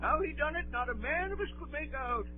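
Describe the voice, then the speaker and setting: An actor playing a half-mad, marooned old pirate castaway in a 1930s radio drama, excitable and wheedling, with rustic sailor speech and a cracked, whooping voice. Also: male voice, extremely high pitch, fairly quick, a little hiss or hum. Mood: confiding. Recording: tinny, band-limited 1930s radio broadcast.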